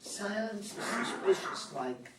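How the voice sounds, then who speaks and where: Woman playing a slow-talking, tart old country wife. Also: medium pitch, animated. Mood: tart, resentful. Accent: American. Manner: folksy, tart rural speech. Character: sharp-tongued older farm wife, dry and scolding. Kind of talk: staged play reading. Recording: distant mic, echoey room, faint noise.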